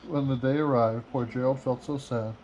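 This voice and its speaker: A man reading a children's storybook aloud as a father would, with measured, expressive storytelling. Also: mid-range voice, highs cut off, slight background noise. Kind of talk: storybook read-aloud in rhyme.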